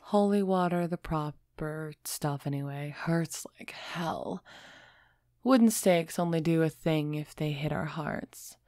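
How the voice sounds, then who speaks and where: A woman playing a refined, centuries-old female vampire in an intimate audio roleplay, gently imperious and softly teasing as she speaks straight to the listener. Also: low-pitched, dry recording, studio quality. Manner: slow, measured, softly teasing, addressing listener directly.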